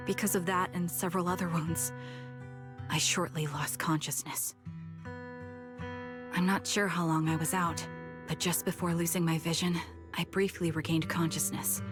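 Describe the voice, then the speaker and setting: A woman playing a haunted, melancholy female soldier in a video game, speaking softly with sombre hesitation. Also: lowish voice, lightly acted. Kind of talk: video game voice acting, recounting solemnly.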